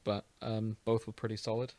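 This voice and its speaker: A man's voice: on the low side, completely flat delivery, moderate pace, a little mellow, no echo. A British man hosting a podcast, chatting casually in a wry, self-deprecating way. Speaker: podcast host. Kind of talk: casual chat.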